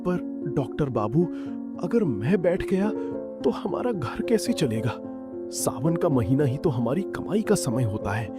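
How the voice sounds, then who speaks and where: Man playing a lively village father, expressive delivery. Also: a little high, unhurried. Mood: weak, worried. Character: hardworking village father selling spicy noodles, hearty, proud. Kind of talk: cartoon story dialogue. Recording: music under the voice.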